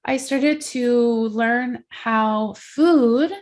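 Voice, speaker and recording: A woman's voice: on the high side, extremely slow, a little mellow. A woman from the Netherlands, a life coach guest, talking casually and reflectively in an interview.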